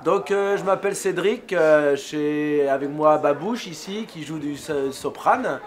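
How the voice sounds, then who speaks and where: A man's voice: a little high, measured pace, loud music behind the voice. A man street musician speaking in an easygoing way, with many fillers, in a festival interview.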